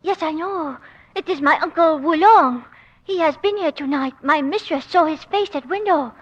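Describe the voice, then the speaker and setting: High-voiced, devoted servant girl. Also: normal speed, highs cut off. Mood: fearful, earnest. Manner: soft, formal, stilted broken English. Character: devoted, timid Chinese maidservant. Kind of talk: 1940s radio acting.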